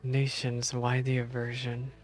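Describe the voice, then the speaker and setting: A man's voice: medium pitch, monotone, unhurried, faint music behind. A man streaming a game, reading story text aloud and reacting casually as he goes.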